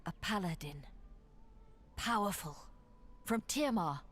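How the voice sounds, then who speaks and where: An actress playing an earnest fantasy adventurer haunted by visions in a video game, speaking with some hesitation. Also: female voice, medium pitch, expressive, slow pace, a bit bright. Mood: shaken, intense. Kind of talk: video game cutscene acting.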